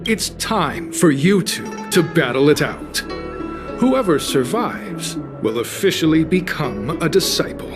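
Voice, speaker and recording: Sinister game cult recruiter, kinda high male voice, slow n formal, polite threats, music behind. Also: very animated. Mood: solemn, assured. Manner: formal, measured, politely menacing. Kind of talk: scripted video game cutscene acting.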